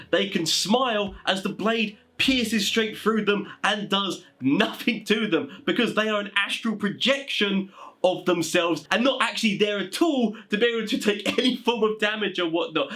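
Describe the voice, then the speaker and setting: High-voiced man chatting through game tips. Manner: animated, rambling, informal asides. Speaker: video host giving tabletop game master advice. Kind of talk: casual explainer to viewers.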